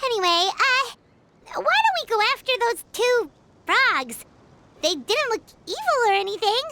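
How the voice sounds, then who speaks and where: A woman voicing a tiny, chirpy, childlike fairy companion in a video game, excitable and squeaky. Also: measured pace, slight background noise, heavily acted. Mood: curious. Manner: speaks of herself in third person, excitable.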